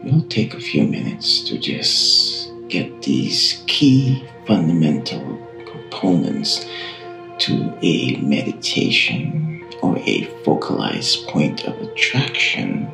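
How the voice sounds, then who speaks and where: A man guiding a meditation in a calm, hushed, often breathy delivery, in long unhurried phrases. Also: extremely low pitch. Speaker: guided meditation guide. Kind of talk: slow guided meditation narration. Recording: roomy echo with music behind. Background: singing bowl tones.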